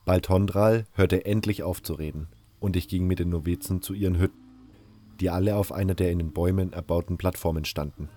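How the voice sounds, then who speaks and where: A man's voice: low-pitched, fairly even intonation, a little fast, dark tone, close to an everyday voice. A man playing a sceptical prisoner who narrates his own story in a scripted fantasy audio drama. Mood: narrating.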